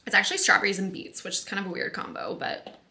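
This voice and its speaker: Brief: young American woman, bright, chatty vlogger, candid asides. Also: medium pitch, quick pace. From United States. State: hoarse, losing her voice. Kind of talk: casual vlog chat. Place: rented house indoors.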